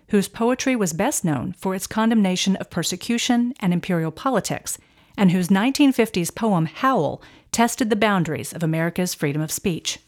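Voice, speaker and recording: A woman host giving scripted documentary narration in a steady, composed delivery. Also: mid-range voice. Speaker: podcast narrator.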